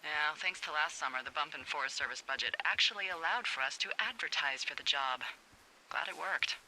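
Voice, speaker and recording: A very bright, forward voice with faint noise behind it: a flippant American woman working as a fire lookout. She talks over a two-way radio in a chatty, sardonic way, with the natural delivery of a story-driven video game. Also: low voice, a little fast, poor audio. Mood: sardonic, explanatory.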